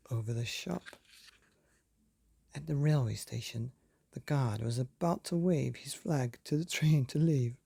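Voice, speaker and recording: A man reading a children's bedtime story expressively, with deliberate dramatic pauses, as if speaking directly to young listeners. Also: fairly high pitch, creaky, mellow, slightly rough, dry recording. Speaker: storyteller, podcast reader.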